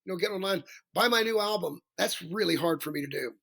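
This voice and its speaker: A male singer with a raspy voice, chatting as a podcast guest, rambling and self-deprecating with many restarts. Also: high voice, fast, fairly bright tone. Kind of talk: casual interview chat.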